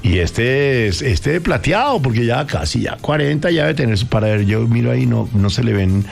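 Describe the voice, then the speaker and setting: A man hosting a morning radio show, chatting about sports in an animated way and speaking straight to listeners. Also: medium pitch, normal speed, vocal fry. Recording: music bed behind the voice. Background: background music.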